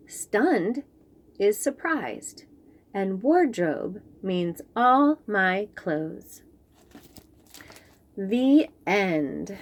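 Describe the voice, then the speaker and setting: A young woman reading a children's picture book aloud, slowly and clearly explaining words. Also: medium pitch. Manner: slow, clear and expressive, explaining fancy words.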